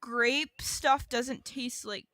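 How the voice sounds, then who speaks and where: A woman's voice: a little high, extremely slow, sharp tone, dry recording, clean studio sound. A woman co-hosting a podcast in casual comedic banter, playful and wry. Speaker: podcast co-host.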